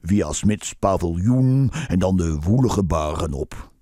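A low-voiced, avuncular old saint in a children's radio play. He speaks slowly and ponderously with lively swings, hesitant and patient. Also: slight background noise. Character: kindly old Saint Nicholas, patient, slightly muddled. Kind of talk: children's audio drama acting.

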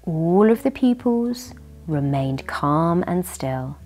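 A British woman in her thirties reading a storybook to young listeners in an animated way, indoors in a living room. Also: on the low side, slow, faint music behind. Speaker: children's story reader and host. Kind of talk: expressive children's storybook reading. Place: furnished living room by a fireplace.

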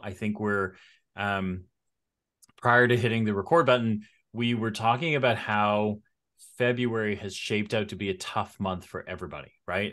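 A middle-aged man co-hosting a podcast, chatting casually over a video call from a home studio with a studio mic. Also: fairly low pitch. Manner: relaxed, conversational, reads sponsor spots. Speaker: podcast co-host. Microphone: studio mic on an arm.

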